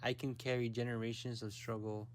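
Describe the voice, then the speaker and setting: A young man from the United States giving a reflective, measured narration for a personal documentary. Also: mid-range voice, little pitch movement, slight background noise.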